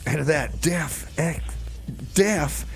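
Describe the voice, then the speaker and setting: A man's voice: fairly high pitch, expressive, takes it slowly. A man hosting a community radio music show with casual, loose, informal DJ patter.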